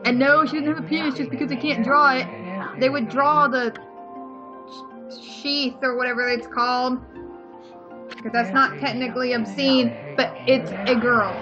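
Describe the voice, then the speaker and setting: A fairly high-pitched woman gives casual let's play commentary. She is blunt and opinionated and swears freely. Her mic sounds dull and lo-fi, with game music clearly audible behind her. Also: fairly even intonation, moderate pace.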